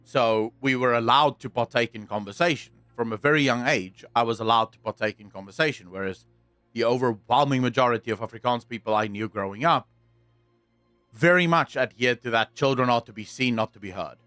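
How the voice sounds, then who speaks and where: A young man with a South African accent chatting on a livestream, answering viewers in a deliberate, blunt way, repeating his points and swearing now and then. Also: mid-range voice, pushed and bright, no room sound, soft background music. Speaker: streamer.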